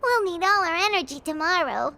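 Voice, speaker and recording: A woman voicing a tiny, bubbly, childlike sprite companion in a video game, squeaky, chirpy and eager. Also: animated, a little fast, pushed and bright, exaggerated voice. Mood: cheerful.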